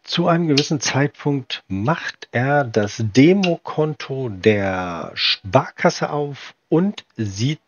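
Slow, lively-toned ironic man narrating. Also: on the low side, no echo. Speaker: video narrator. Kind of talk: recounting a call. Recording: dull lo-fi sound.

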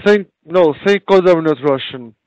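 A man's voice: on the high side, a little slow, darkish tone, no room sound, dull audio. Flustered call center man. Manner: flustered, keeps asking to repeat, apologetic. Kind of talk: phone call.

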